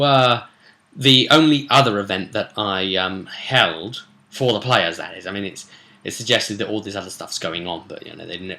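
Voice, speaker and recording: A man's voice: lowish voice, vocal fry, pushed and bright. A British man speaking as a game master, recapping a tabletop role-play session in a casual, chatty, digressive way with playful asides and emphatic bursts. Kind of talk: casual animated recap to camera.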